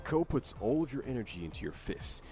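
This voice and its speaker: A man's voice: medium pitch, very animated, a little fast, over music. A man reading scripted explainer narration for a video game trailer.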